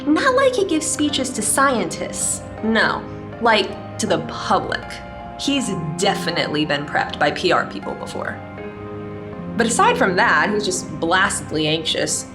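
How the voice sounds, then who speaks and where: A woman's voice: mid-range voice, very animated, unhurried. A woman playing a sardonic, casual cyber-security specialist recording a personal log in a sci-fi audio drama. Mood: amused, puzzled. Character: wry, sharp female cyber-security specialist keeping a log. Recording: music bed under the voice.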